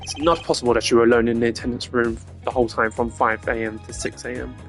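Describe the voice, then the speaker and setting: A man acts out a stern video game prosecutor of medium pitch. He questions in precise, formal sentences with a dry, cutting edge. Game music plays clearly behind him. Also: level tone, fast, close to an everyday voice. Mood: pressing.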